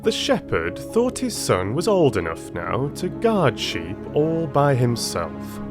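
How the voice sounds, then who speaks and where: A man reading a storybook tale aloud as an audiobook narrator, with expressive, dramatic and deliberate delivery. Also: mid-range voice, music in the background.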